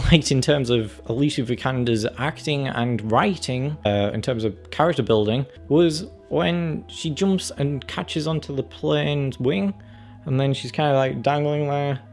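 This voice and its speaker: A man giving casual, opinionated video commentary as a review vlogger, in a rambling, conversational way. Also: fairly high pitch. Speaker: film and game review vlogger.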